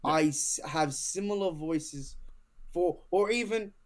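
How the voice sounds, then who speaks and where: A man co-hosting a podcast in casual chat, excitable, swearing freely and tripping over restarts. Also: on the high side, slow. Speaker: podcast co-host, hobby voice actor.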